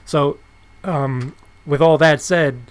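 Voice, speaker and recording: A man hosting a casual interview, informal and encouraging, often halting as he frames his thoughts. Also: on the high side, fairly even intonation, clean tone. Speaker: podcast host, interviewer.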